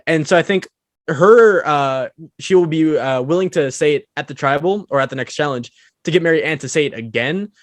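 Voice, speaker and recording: A man co-hosting a podcast who tells stories in a relaxed way, acting out quoted asides. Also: on the high side, brisk, no echo. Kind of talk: casual podcast chat.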